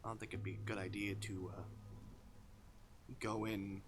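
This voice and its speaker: A male streamer reading a visual novel aloud with expressive, dramatic delivery and casual commentary. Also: lowish voice, moderate pace. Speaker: game streamer. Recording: music behind the voice.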